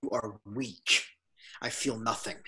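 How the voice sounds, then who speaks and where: Brooding young sci-fi villain, acted by a man: husky, slow, terse, defiant, with a hard tense edge. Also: lowish voice, steady tone, sharp tone, full character voice. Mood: cold defiance. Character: dark young male Sith warrior, brooding and defiant. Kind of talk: fan table read acting. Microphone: video call.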